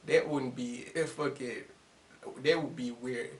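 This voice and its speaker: A man's voice: medium pitch, steady tone, unhurried. A man of twenty-one chatting casually in a rambling, slangy, profane and self-deprecating monologue, laughing often. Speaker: YouTuber making reaction videos.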